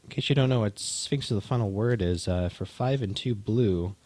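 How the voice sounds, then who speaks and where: Relaxed, low-voiced man chatting casually. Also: no room sound. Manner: relaxed, halting, with many restarts. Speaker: co-host opening card packs. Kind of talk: casual chat.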